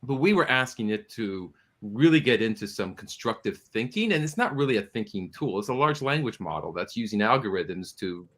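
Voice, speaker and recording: Guy on a panel interview explaining stuff, really earnest, pitch moves around a lot. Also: very animated, normal speed, few pauses, fairly bright tone. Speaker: studio producer, interview guest.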